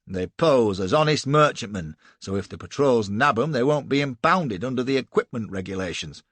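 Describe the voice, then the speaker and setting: A man voicing a cold, menacing middle-aged slave-ship captain in an audiobook, quietly threatening and commanding. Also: medium pitch, animated, moderate pace, no echo. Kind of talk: audiobook character voice.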